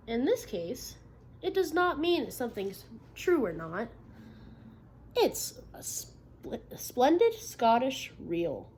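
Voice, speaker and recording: High-voiced woman reading a storybook aloud. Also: darkish tone, husky. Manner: instructive, checking in with listeners by questions and affirmations. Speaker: teacher reading a picture book aloud. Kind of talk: interactive children's read-aloud, expressive storybook narration with questions. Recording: noticeable background noise.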